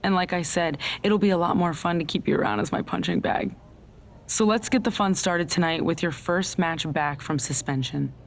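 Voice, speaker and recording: A woman's voice: fairly low pitch, normal speed, vocal fry, a little hiss or hum. A woman playing a smug, scheming female wrestling general manager, a villain in a video game cutscene, with a mocking, condescending delivery. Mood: taunting, gloating.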